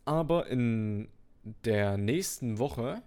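A man's voice: mid-range voice, expressive, very slow, a little mellow, clean tone. A nineteen-year-old man co-hosting a podcast, chatting casually in laid-back slangy talk. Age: turning 19. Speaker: podcast co-host. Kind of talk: casual chat.

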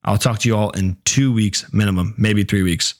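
A young man talks loosely into his podcast mic, laid-back and easy, swearing now and then. His voice sits a little low and sounds hoarse and worn, as if his throat is giving out. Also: fairly even intonation, moderate pace. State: sore throat, losing voice. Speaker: podcast host. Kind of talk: casual solo podcast chat.

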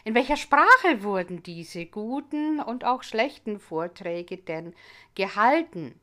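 A woman's voice: sits high, fairly bright tone. A woman whose first language is English, a middle-aged language teacher hosting an educational podcast and explaining earnestly while putting many questions to her listeners. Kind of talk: podcast lesson monologue.

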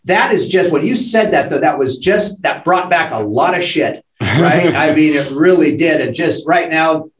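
Man, late sixties, podcast co-host, candid, halting with restarts, fairly high voice, roomy echo. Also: lively intonation. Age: late 60s. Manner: halting, full of restarts and frank swearing. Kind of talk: candid conversational podcast talk.

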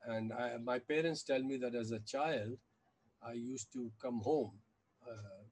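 A man, recalling things in an interview in a halting way and often correcting himself. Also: medium pitch, darkish tone, breathy edge. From India, with childhood years in China and the US. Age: 70s. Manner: halting, self-correcting recollection. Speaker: interview guest, activist and former journalist. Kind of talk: reflective interview conversation.

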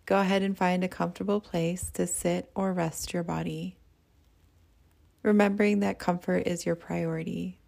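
A woman leading a guided meditation as a teacher, speaking in a soothing, gentle and unhurried way. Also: fairly low pitch, level tone, vocal fry.

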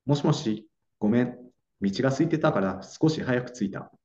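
A young man acting a calm, gentle boyfriend in a slow, clear radio drama for learners. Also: medium pitch, slight background noise. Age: young adult. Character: Naoto, young man staying in his hometown. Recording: dull lo-fi audio.

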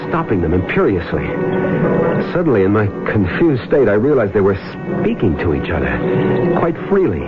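An actor playing a male deep-sea diver who recounts his underwater ordeal with awe and fear, as dramatic radio drama narration. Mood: amazed. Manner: dramatic storytelling. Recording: tinny old radio broadcast, loud music behind.

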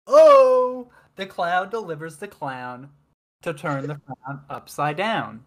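A man's voice: a little high, expressive, slow pace. A man co-hosting a casual podcast chat, giggling often and trailing off into restarts as he rambles. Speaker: podcast co-host.

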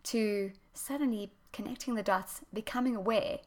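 A woman hosting her own podcast, a health coach explaining her topic in an earnest, explanatory way, her voice slightly croaky as she gets over a chest infection. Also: mid-range voice, steady tone, normal speed. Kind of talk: solo podcast talk.

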